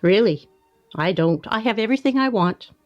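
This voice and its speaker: An actress in a radio play playing a contented middle-aged wife, demurring in an understated way. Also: female voice, medium pitch, lively intonation, moderate pace, no echo, muffled recording, soft background music, natural voice. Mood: bashful, demurring.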